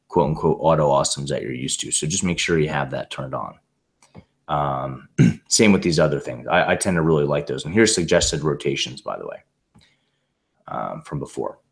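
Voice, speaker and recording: A man's voice: extremely low pitch, moderate pace, vocal fry. A man recovering from laryngitis, giving a casual, rambling tutorial over a video call with many fillers and restarts. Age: adult with a young son. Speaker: presenter demonstrating software. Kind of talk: casual screen-share tutorial.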